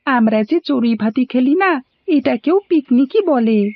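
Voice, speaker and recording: Woman as an eager, high-voiced cartoon schoolboy. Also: expressive, unhurried, full character voice. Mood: eager, explaining. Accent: Bangladeshi. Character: cheerful, eager schoolboy, a helpful little brother. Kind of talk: animated cartoon dialogue. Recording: dull lo-fi audio.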